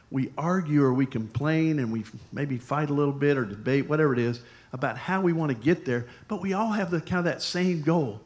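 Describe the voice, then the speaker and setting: A man, a pastor, preaching a sermon in a conversational, storytelling way with lively asides to the congregation. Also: fairly high pitch.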